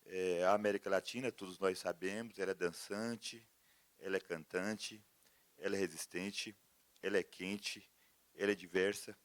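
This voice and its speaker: A man's voice: deep voice, steady tone, normal speed, dry recording. A man from Goiás, giving reflective conference remarks in a rhythmic, listing way. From Goiás, Brazil. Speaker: academic speaker.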